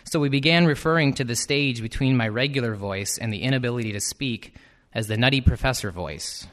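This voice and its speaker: A man's voice: medium pitch, a little fast, a bit bright. Man narrating a reflective personal essay. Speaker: teacher.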